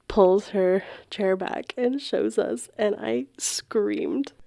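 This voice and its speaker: A woman's voice: mid-range voice, extremely slow, gravelly, dark tone. A woman co-hosting a podcast in casual, comedic chat, candid and amused, with plenty of slang and swearing. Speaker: podcast co-host.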